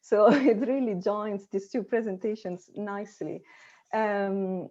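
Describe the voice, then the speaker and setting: A woman's voice: mid-range voice, fairly even intonation, slow, dark tone, dull audio. A woman from Italy with an Italian accent, responding at an online conference in a chatty way, full of fillers and restarts. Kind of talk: casual academic talk over video call. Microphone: video call.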